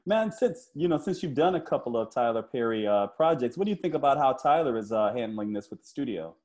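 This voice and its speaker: A man's voice: medium pitch, animated, fairly quick, darkish tone. A middle-aged American man casually interviewing someone over a video call from an indoor room. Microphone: wired earphones on a video call.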